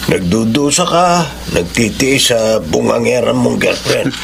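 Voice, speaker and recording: An older Filipino father in a radio drama, teasing and meddling. His gravelly voice swings up and down over clear background noise. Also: mid-range voice, expressive, a little slow. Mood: teasing, chiding.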